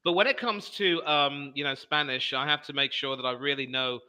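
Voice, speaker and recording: A man raised in the UK, chatting casually through a football match in a measured, careful way and enjoying wordplay. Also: medium pitch, a crowd in the background. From born and raised in the UK. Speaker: co-host of a football watchalong. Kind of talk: casual chat while watching a match.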